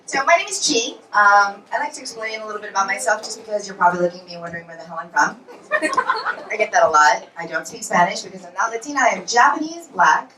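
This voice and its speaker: A woman in her forties tells punchy, self-deprecating stories on a comedy club stage. Her voice is bright and full of swings in pitch, picked up from a distance, with the room ringing around it. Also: sing-song, fast. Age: 40s. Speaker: stand-up comedian. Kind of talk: stand-up comedy set. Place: comedy club in New York. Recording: roomy club sound, from far off.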